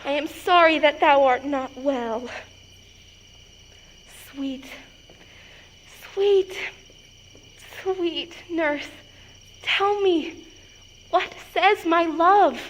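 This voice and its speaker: A woman's voice: high-pitched, unhurried, hardly any pauses, slight background noise, low-quality recording. A woman acting an impatient, lovestruck teenage girl in Shakespearean verse, with theatrical, emphatic delivery. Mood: pleading.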